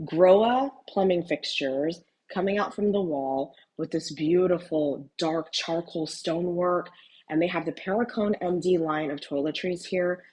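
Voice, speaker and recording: A woman's voice: lowish voice, measured pace, darkish tone, rough recording. A middle-aged woman from Houston, a real estate broker, giving an enthusiastic, admiring tour of a hotel suite indoors, recorded on a handheld camera. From Houston, USA. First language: Cantonese. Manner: enthusiastic, admiring, mildly rambling with fillers. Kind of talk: hotel room tour vlog.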